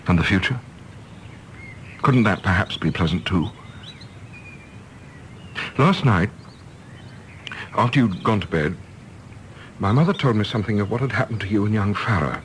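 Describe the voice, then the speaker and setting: Actor as an earnest, animated middle-aged gentleman. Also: lowish voice, normal speed, long pauses, slight background noise, lightly acted. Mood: earnest, urgent. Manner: formal, pressing, wordy. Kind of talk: radio play acting.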